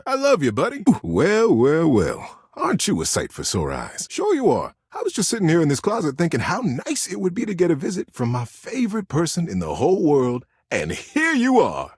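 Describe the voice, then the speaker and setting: A man voicing a kindly, folksy old childhood teddy bear in a video game, talking like a gentle, earnest storyteller. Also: a little high, slightly rough, dry recording, clean studio sound, full character voice. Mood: delighted, affectionate. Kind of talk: video game character dialogue.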